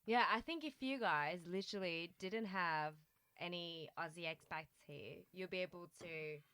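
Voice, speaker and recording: A woman's voice: lowish voice, moderate pace, sharp tone, dry recording. A woman chatting casually with friends on a podcast, rambling and trailing off now and then. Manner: chatty, trails off, clears throat. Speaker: podcast host. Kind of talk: casual chat among friends.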